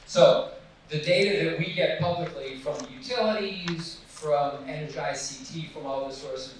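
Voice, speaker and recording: A man's voice: medium pitch, a little hiss or hum. A man presenting his nonprofit's tool and answering audience questions in a conversational, restarting manner. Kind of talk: public Q&A presentation. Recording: echoey hall from far off.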